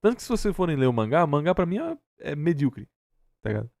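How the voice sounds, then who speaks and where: A man's voice: on the high side, sing-song, fast talker, creaky, darkish tone, no room sound. A Brazilian man co-hosting a reaction show, commenting casually in a sarcastic, argumentative and emphatic way.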